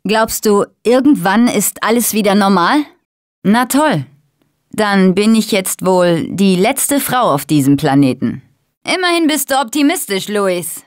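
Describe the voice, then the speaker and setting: A woman's voice: mid-range voice, expressive, a little slow, no room sound, studio quality, close to an everyday voice. An actress playing a tough, sarcastic young woman surviving a zombie apocalypse in a dubbed video game. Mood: wry, uneasy. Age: young adult.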